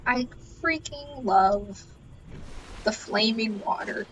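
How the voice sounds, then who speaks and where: A woman's voice: on the high side, takes it slowly, distant mic, a crowd in the background, poor audio. A woman chatting casually while streaming a video game, with a playful, silly manner and a habit of repeating phrases in a singsong. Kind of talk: casual gameplay chat.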